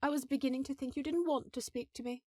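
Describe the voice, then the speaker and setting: A woman's voice: high voice, fairly even intonation, quick pace, dark tone, no echo, clean studio sound, close to an everyday voice. A woman playing an affectionate, teasing elf headmistress in a scripted fantasy audio roleplay, composed and slightly formal. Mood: playful, teasing. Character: powerful elf sorceress sister, affectionate, teasing, dutiful headmistress.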